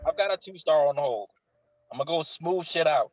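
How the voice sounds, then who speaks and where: A man's voice: fairly high pitch, animated, a little fast, darkish tone, lo-fi sound. A man acting a tense, foul-mouthed military captain who talks in curt demands at a table read. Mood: exasperated, commanding.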